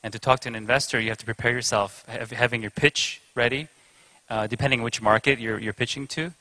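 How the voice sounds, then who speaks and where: A man's voice: medium pitch, steady tone, brisk, pushed and bright, no echo, poor audio. A man speaking as a panelist, conversational and explanatory, with plenty of "you know.". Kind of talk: conference panel discussion.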